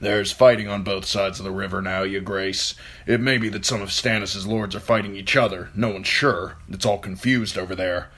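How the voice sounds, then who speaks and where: A man voicing a blunt soldier giving a brisk battle report. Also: sits low, steady tone, fairly bright tone. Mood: urgent.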